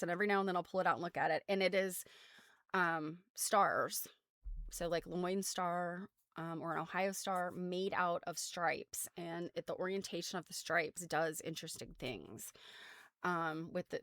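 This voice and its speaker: A woman's voice: lowish voice, fairly bright tone, dry recording, clean studio sound. An American woman hosting a casual craft livestream, explaining things in an informal, rambling way full of trailing asides. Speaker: host of a craft show. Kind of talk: casual livestream chat about quilts.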